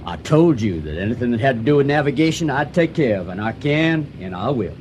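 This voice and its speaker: An actor playing a folksy, slippery younger boat navigator in a radio drama, hearty and over-reassuring. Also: male voice, mid-range voice, sing-song, a little fast, darkish tone, highs cut off, a little hiss or hum, close to an everyday voice. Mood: guarded, reassuring.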